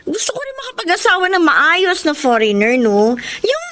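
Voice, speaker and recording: A woman's voice: very high-pitched, wildly swooping pitch, moderate pace, bright, forceful tone, full character voice. A woman playing a feisty, hopeful daughter in a Filipino radio drama, dramatic and sassy, with a Filipino accent. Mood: excited, dreamy. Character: feisty, hopeful daughter dreaming of a foreign husband.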